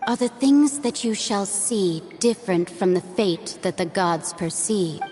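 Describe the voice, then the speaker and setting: A woman playing a mysterious, serene otherworldly guide in a video game, speaking in a measured, formal, enigmatic manner. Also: mid-range voice, animated, music in the background, close to an everyday voice. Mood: solemn, cryptic.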